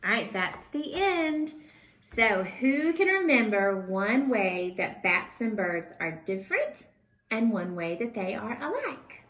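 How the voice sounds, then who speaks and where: A woman's voice: on the high side, very animated, takes it slowly, fairly bright tone, roomy sound. A woman reading a storybook aloud expressively for children.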